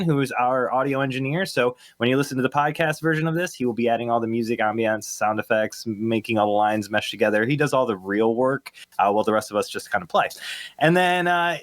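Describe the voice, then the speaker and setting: A man's voice: mid-range voice, no room sound. A man hosting a table read, speaking casually and off the cuff with an enthusiastic, appreciative manner. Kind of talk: casual, unscripted thanks and show wrap-up.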